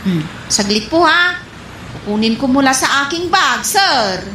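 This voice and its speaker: A woman's voice: sits high, lively intonation, unhurried, bright, forceful tone, full character voice. A woman with an Ilocano accent playing a boastful, trusting wife with a breezy, dismissive manner in a comedy radio drama. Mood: eager, polite. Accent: Ilocano, from the Philippines. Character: boastful, trusting married woman showing off savings. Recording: echoey room, faint background noise.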